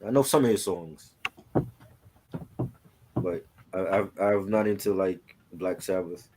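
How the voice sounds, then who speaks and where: A man's voice: deep voice, slow pace, background noise. A man co-hosting a casual chat, talking in an informal, conversational way peppered with "you know". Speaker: co-host.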